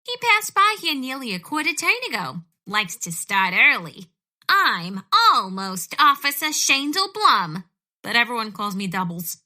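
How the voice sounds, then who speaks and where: A woman playing a chatty, put-upon police trainee in a comedic audio drama, brisk, emphatic and wryly grumbling. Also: a little high, sing-song, pushed and bright, exaggerated voice. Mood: chirpy.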